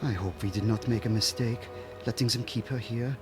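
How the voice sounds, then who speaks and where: An actor with a German accent playing a stern, regretful professor in a radio drama. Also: male voice, medium pitch, steady tone, a little fast, music in the background, lightly acted. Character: stern, regretful German professor, husband, middle-aged or older.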